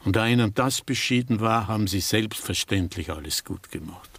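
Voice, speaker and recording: An actor playing a sardonic, disillusioned doctor in a German radio drama, speaking in a dry, articulate, ironic way. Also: male voice, lowish voice, moderate pace, gravelly, a bit bright, husky, full character voice. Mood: bitter irony.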